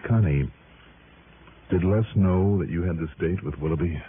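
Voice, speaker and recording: An actor playing a calm, persistent, suspicious investigator in an American radio drama, with an American accent and short probing questions. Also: male voice, low voice, normal speed, natural voice. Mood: pressing, suspicious. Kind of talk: mid-century American radio drama acting. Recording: noisy, narrow-band vintage radio recording.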